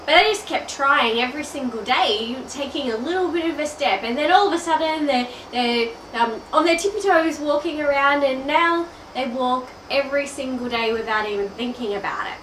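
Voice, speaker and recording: A woman's voice: sits high, fairly bright tone, slight background noise. An Australian woman in her thirties with a stuffy nose from a cold, talking chattily to the viewer as an online business coach. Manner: chatty, addresses the viewer directly. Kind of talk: direct-to-camera video message. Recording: roomy, a bit distant from the mic.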